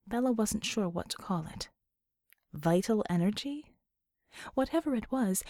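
Higher-pitched woman narrating fiction. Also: warm tone, no room sound, studio quality. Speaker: audiobook narrator.

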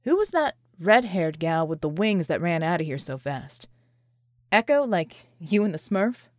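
A woman voicing a skeptical, wary American man with a gruff, folksy drawl, in an audiobook story. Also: high voice, big pitch swings, unhurried, no echo, studio quality, voice pushed down. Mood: wary, puzzled.

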